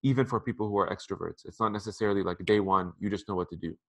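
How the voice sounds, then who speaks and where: A man in his thirties talks quickly over a video call from a room at home. His voice sits a little low. He speaks conversationally and repeats words as he goes. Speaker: interview guest. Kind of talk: casual advice on a video call. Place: apartment room by a window. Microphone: headphones on a video call.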